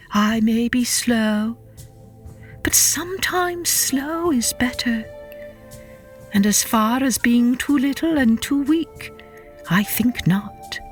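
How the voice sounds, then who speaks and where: A storybook grandmother spider, put on by a woman narrator, old and tiny, speaks in a high, frail voice. She takes her words slowly, humble yet patient and quietly sure of herself. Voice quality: weak, frail, elderly-sounding. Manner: slow, humble, quietly firm.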